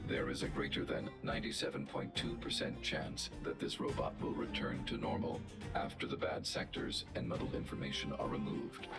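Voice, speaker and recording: A towering robot guardian in a video game, speaking in a cavernous, gravelly bass. Every word comes out flat, precise and mechanical, like a machine working through a calculation. Loud game music plays under the voice. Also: male voice. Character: huge logical male robot guardian.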